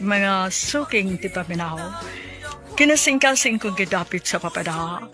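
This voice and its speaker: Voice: mid-range voice, pushed and bright. A radio drama announcer with a Cebuano Filipino accent, delivering a scripted programme outro.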